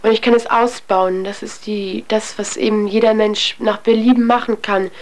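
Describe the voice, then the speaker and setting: A woman's voice: mid-range voice, steady tone, normal speed, darkish tone, poor audio. A young adult woman giving a candid personal account as an interviewee, speaking in a reflective, hesitant, colloquial way.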